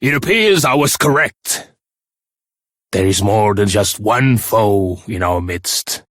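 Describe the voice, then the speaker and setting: An actor playing an earnest, seasoned warrior reflecting solemnly in a video game journal monologue. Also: male voice, lowish voice, expressive, takes it slowly, a bit bright, dry recording, studio quality. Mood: weary resolve.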